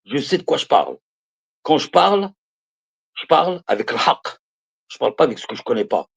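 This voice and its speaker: Husky man in his fifties preaching. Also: a little high, big pitch swings, a little fast. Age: 50s. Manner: emphatic, repeats phrases, calls listeners brothers and sisters. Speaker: live streamer giving religious advice. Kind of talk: preaching to viewers on a live stream.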